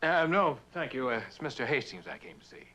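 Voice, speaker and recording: An actor playing a proud, bitter prospector, blunt and insistent, in a mid-century television drama. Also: male voice, medium pitch, very animated, brisk, sharp tone. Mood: impatient. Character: proud, bitter mineral prospector, a man hard bargainer.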